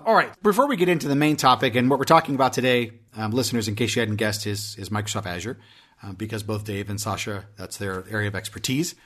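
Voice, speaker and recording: A man's voice: on the low side, quick pace, a bit bright. A man hosting a podcast interview, talking in a chatty way with many restarts and hesitations. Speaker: podcast host.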